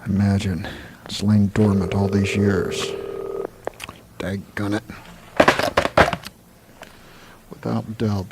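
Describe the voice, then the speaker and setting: An excited, impatient literature professor, acted by a man in a 1980s radio play, speaks through very heavy recording noise. His voice is on the low side and gravelly. He is earnest and emphatic, like a scholar who cannot wait. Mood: impatient, amazed. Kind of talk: 1980s radio drama acting.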